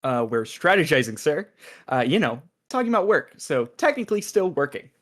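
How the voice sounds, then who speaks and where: A man playing a glib, animated, high-voiced scheming agent. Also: a little fast. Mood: defensive. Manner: glib, dramatic, stammers when cornered. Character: smug, scheming, chatty male agent in a comedy sci-fi. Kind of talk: comedy script table read.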